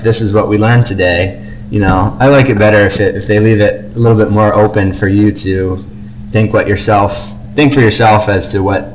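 A man co-hosting a podcast, giving casual, measured reviews in a conversational, hedging way. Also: on the low side, slight background noise, rough recording. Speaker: podcast co-host.

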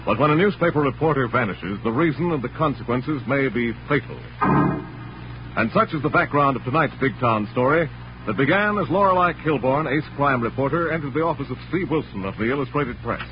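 A man's voice: medium pitch, expressive, fairly quick, background noise, close to an everyday voice. A man playing a dramatic announcer, narrating in scripted 1940s radio style. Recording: muffled old radio recording.

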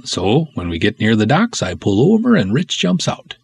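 Comic serial: earnest, simple Norwegian-American canoe cop, played by a man, animated, dry close recording. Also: on the low side, normal speed, creaky, slightly rough, no echo, exaggerated voice. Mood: earnest storytelling. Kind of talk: comic first-person serial storytelling.

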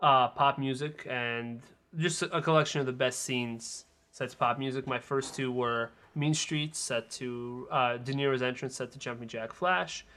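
A man's voice: mid-range voice, a little slow, few pauses, sharp tone. A man co-hosting a film podcast, chatting casually and analytically with firm opinions. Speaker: film podcast co-host and critic.

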